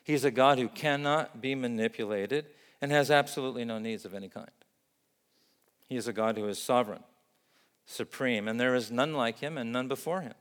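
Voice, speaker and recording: Male pastor, grew up in Vietnam; steady, earnest preaching, middle pitch, recorded in an echoing church hall. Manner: earnest, measured preaching with emphatic lists. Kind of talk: sermon.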